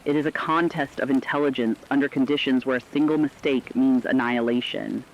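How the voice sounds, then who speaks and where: A man plays a serious radio news correspondent with a mid-pitched voice. The scripted report comes out sober and deliberate, through slightly dull audio with faint noise. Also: natural voice. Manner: sober, deliberate, dramatic delivery.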